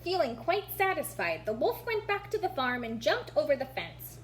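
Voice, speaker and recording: High, animated woman reading a storybook. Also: normal speed. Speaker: storybook reader. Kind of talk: animated children's read-aloud with picture commentary. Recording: noticeable background hum.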